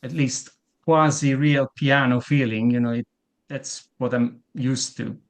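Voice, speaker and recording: A man's voice: mid-range voice, steady tone, a little slow. Italian-accented man, hesitant on a video call. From Italy. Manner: hesitant, repeats words when starting sentences. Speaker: interview guest, musician. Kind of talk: casual video-call interview.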